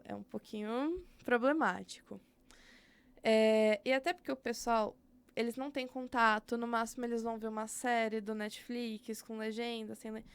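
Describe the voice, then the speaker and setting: A woman's voice: fairly high pitch, clean tone. A young woman with a Brazilian Portuguese accent, speaking candidly as a podcast guest about her work as an educator, thinking aloud with frequent fillers. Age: young adult. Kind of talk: casual interview conversation.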